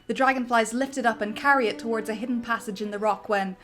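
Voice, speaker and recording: A woman's voice: a little high, fast talker, fairly bright tone. A woman narrating a film script theatrically, with a comedic dramatic flair. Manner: theatrical, breaks easily into giggles.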